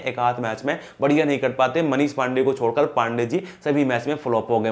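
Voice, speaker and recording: Young male cricket video host, chatty and direct, lapel mic, small studio. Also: mid-range voice. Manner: chatty, addresses viewers as brothers. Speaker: cricket fantasy team predictor. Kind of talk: casual cricket analysis to camera. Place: small indoor studio, grey backdrop.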